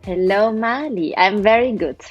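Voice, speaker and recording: Slow, thoughtful forty-year-old woman. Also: medium pitch, slightly rough, dull audio, soft background music. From Berlin, Germany. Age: 40s. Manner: thoughtful, with frequent hesitations. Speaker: guest, writer. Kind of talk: casual interview answers.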